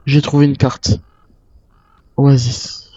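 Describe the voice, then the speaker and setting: A man with a dark, relaxed voice at a medium pitch narrates his survival game in character. He mutters his thoughts aloud as he improvises, on a slightly dull microphone. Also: takes it slowly. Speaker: gamer roleplaying a zombie-island survivor.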